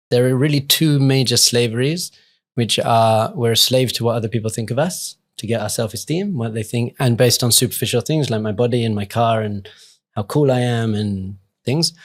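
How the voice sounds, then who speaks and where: Adult man, mid-pitched voice, earnest, reflective, informal interview storytelling. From England. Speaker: rabbi and podcast guest.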